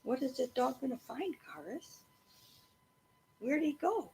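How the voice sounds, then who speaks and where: Slow-paced woman reading a storybook aloud, noisy background. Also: high-pitched, creaky. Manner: animated, engaging a young listener with asides. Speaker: reader reading a children's book aloud to a child. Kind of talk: expressive storybook read-aloud narration.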